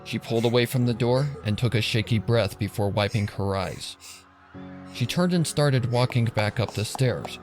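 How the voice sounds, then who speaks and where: A man's voice: mid-range voice, moderate pace, darkish tone, soft background music. A man reading scripted dramatic story narration for a fan fiction.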